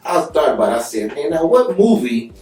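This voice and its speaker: A man's voice: a little high, sing-song, fairly quick, a little mellow, reverberant, distant mic, soft background music. A man co-hosting a podcast, agreeable and chiming in often. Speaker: podcast co-host. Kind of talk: casual podcast interview.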